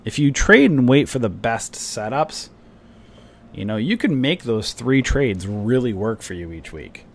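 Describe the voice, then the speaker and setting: A man in the middle pitch range talks loosely over his charts, leaning on "you know" and "right" as he goes. His voice sounds a bit worn today, with the odd cough and throat-clear between thoughts. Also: very animated, a little slow, a little hiss or hum. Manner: rambling, chatty, many fillers like "you know" and "right". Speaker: stock trading streamer. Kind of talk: casual market commentary to viewers.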